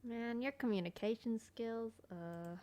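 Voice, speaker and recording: A woman commenting on her own gameplay in an informal, reactive way. Her voice is slightly high, and there is faint noise behind it. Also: a little slow, dark tone. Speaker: gaming content creator.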